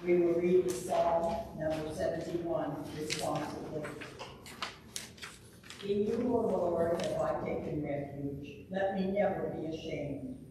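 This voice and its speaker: A man reading scripture aloud at a church service in a solemn, measured way with pauses, heard from a distance in the sanctuary. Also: fairly high pitch. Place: medium-sized church sanctuary, indoors. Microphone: distant from the camera. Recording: echoey church, distant mic, noisy.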